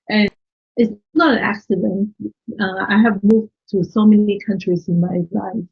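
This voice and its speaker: Woman guest with a Chinese accent, husky and gravelly, easygoing explainer. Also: mid-range voice, unhurried, highs cut off. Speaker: interview guest. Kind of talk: conversational interview answers.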